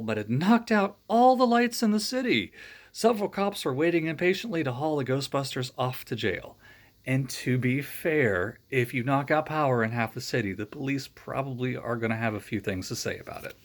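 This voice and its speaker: A man reading a children's storybook aloud in a friendly way, adding joking asides. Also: medium pitch. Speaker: storybook reader.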